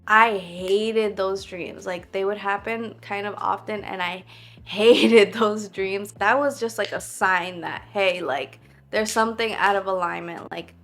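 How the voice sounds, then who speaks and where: A woman's voice: medium pitch, a bit bright. A young woman vlogging to camera in a casual, reflective way, earnest and full of "like" fillers. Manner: earnest, heavy use of "like" fillers.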